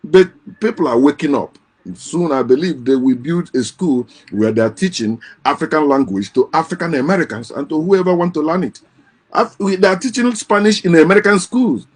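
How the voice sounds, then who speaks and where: Impassioned man, fairly high voice. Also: animated, moderate pace, breathy edge. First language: Igbo. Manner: impassioned, repeats and restarts phrases. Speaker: host. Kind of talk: casual monologue.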